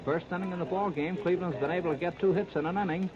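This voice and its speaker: A man's voice: sits high, rapid-fire. An American man giving flowing, detailed baseball commentary in a 1930s radio style. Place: outdoor ballpark broadcast. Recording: noisy, muffled 1939 radio disc recording.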